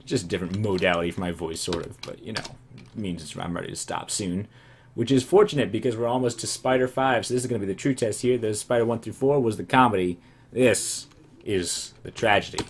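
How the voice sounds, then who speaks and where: Low male let's-play host, wandering self-amused musings, voice turning hoarse, faint keyboard noise underneath. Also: brisk. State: voice going hoarse. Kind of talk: casual gameplay commentary.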